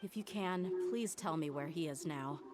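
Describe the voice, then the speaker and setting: A woman's voice: low-pitched, normal speed, natural voice. An actress playing a tough, blunt, hot-tempered mercenary woman in a video game. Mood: angry, frustrated.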